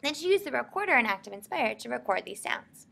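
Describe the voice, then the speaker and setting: An American woman narrating a software tutorial in an informal, explanatory way. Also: on the high side, big pitch swings, quick pace, gravelly. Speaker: software instructor.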